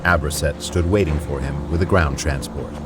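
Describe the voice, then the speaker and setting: A man's voice: a bass voice, moderate pace, heavy background noise. A man giving scripted narration for an audio fiction series.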